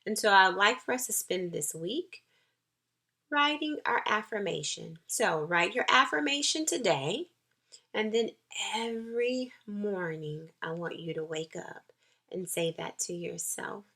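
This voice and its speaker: Slow, bright-voiced woman encouraging young children. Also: mid-range voice, animated. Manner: encouraging, nurturing. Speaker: school counselor.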